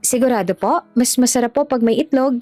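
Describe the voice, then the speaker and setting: A woman's voice: fairly high pitch, brisk, warm tone, dry recording, soft background music, voice pushed up, close to an everyday voice. A woman voicing a cheerful, kind young female food vendor with a polite, upbeat, teasing manner in an audio story.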